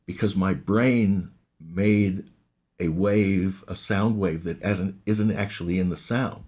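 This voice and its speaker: A man in his sixties with a raspy voice, a guest in a casual interview who explains things at length and often restarts his sentences. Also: low-pitched, fairly even intonation, takes it slowly, darkish tone. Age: 60s. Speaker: guest, ghost hunter and recording engineer.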